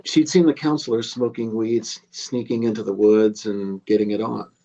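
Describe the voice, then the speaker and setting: A man's voice: fairly low pitch, fairly even intonation, normal speed, mellow, dull audio. A man playing a young camp counselor casually telling a murder legend in a live horror script read. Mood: casual, eerie. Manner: casual, teasing storyteller.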